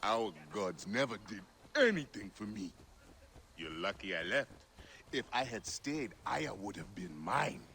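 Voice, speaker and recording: A man's voice: lowish voice, big pitch swings, unhurried, gravelly, bright, forceful tone, slight background noise, exaggerated voice. A man playing a boastful, bitter, drunken charioteer with a swaggering, taunting manner in a video game cutscene. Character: boastful, bitter, drunken male charioteer nursing old grudges.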